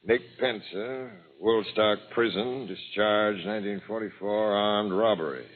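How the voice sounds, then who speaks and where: An actor playing a matter-of-fact, world-weary police captain with a businesslike manner in a 1940s radio drama. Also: male voice, on the low side, measured pace. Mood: dry, businesslike. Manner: businesslike, dry. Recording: muffled, phone-like old radio recording.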